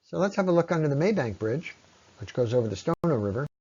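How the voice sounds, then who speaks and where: A man narrating an informal slide presentation in an explanatory way, with halting pauses. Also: a little high, very animated, darkish tone, slightly rough, dull audio. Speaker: presenter on local ecology.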